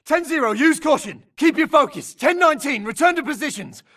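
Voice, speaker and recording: A tense sci-fi foot soldier in a video game. His very high, forceful voice shouts clipped combat callouts full of military code, urgent and set slightly away from the mic. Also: animated, a little fast, distant mic, full character voice. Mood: alert, urgent. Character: tense male futuristic infantry soldier calling out enemies.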